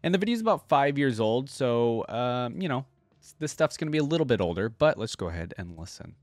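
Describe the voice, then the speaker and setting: Male reaction host, casual and enthusiastic, animated pitch, dry recording with faint music behind. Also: fairly quick, no echo. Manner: enthusiastic, chatty, appreciative interjections.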